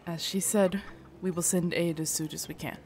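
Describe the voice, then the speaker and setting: A woman playing a loyal, protective female knight in an audio drama, formal, deferential and earnest, with a husky voice. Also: fairly low pitch, steady tone, normal speed, darkish tone, slight background noise, lightly acted. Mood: dutiful, gentle. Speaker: voice actor in audio roleplay. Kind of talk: acted immersive audio drama monologue.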